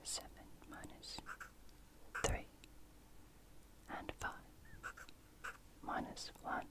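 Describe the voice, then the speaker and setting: A woman hosting ASMR in a whisper, deliberate and measured, with long pauses. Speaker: host. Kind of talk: whispered ASMR narration with a spooky espionage theme.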